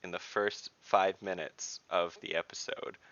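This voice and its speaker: A man's voice: deep voice, fairly even intonation, unhurried, fairly bright tone, no room sound, dull audio. A man playing an online tabletop game, chatting casually and chiming in with joking remarks. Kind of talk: casual banter.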